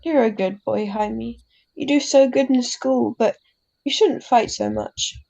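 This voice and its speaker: A woman playing an ailing, gentle, wise mother in a radio drama, speaking tenderly and haltingly. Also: fairly high pitch, vocal fry, muffled recording. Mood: tender concern. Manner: tender, halting, philosophical, tags sentences with huh. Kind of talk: classic radio drama acting.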